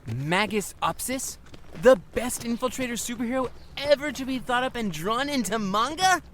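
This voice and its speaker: Man as a high-pitched, geeky little brother. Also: expressive, normal speed, a bit bright, slight background noise. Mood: indignant. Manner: indignant, eager. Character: excitable younger brother, a geeky comics fan, easily riled. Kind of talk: audio drama acting.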